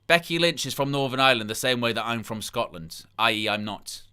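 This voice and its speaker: A man's voice: medium pitch, lively intonation, brisk, pushed and bright, smooth. A man hosting a live wrestling watchalong, rambling through incredulous asides and reading chat comments aloud between plugs for likes. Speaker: wrestling livestream host.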